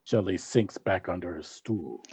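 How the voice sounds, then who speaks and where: A man's voice: deep voice, expressive, a little slow, darkish tone, breathy edge. A man reading scripted narration as the announcer of a comedy radio play.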